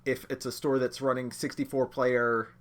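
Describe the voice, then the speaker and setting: A man's voice: medium pitch, moderate pace. Slightly nasal man, under the weather. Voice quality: a bit nasal. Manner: relaxed and chatty, addresses viewers directly. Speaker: judge training instructor. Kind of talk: casual livestreamed lesson.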